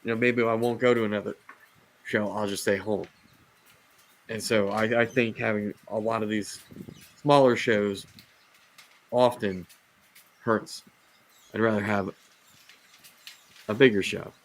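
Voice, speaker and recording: Low gravelly guy hosting a live stream, losing his voice, rambles and restarts a lot. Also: steady tone, measured pace, pauses often. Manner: rambling, rant-prone, many fillers and restarts. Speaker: host of a reptile live show. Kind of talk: casual livestream chat.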